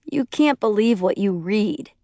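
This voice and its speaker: A woman's voice: fairly high pitch, measured pace, dry recording, clean studio sound. Woman reading as an exasperated young husband. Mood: calm. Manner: emphatic, exasperated. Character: exasperated young husband, pleading, then fed up. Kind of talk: acted audiobook dialogue.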